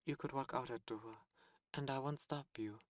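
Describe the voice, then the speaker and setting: A man voicing a seductive ancient demon prince, a fallen angel, speaking softly and slowly in an ASMR roleplay. He coaxes and tempts, close to the microphone. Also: mid-range voice, fairly even intonation, natural voice. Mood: tempting. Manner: soft, slow, coaxing and tempting.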